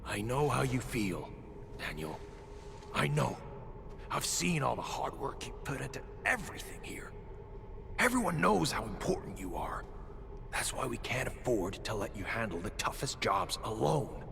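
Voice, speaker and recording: A gravelly, earnest outpost leader. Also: male voice, mid-range voice, big pitch swings, noisy background. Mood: concerned, reassuring. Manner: sincere, reassuring, measured. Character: earnest, protective male outpost leader rallying his people. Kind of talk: dramatic video game cutscene acting. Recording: music behind the voice.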